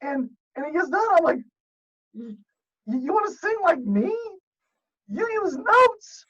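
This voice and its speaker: Animated jokey man, lo-fi audio. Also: measured pace. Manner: animated, jokey, swears, squeaks up when excited. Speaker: podcast guest. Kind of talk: casual storytelling.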